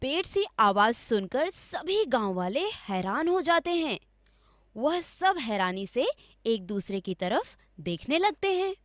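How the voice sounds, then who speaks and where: A woman's voice: on the high side, big pitch swings, takes it slowly, no echo, studio quality. A woman narrating a scripted story for children as a storyteller.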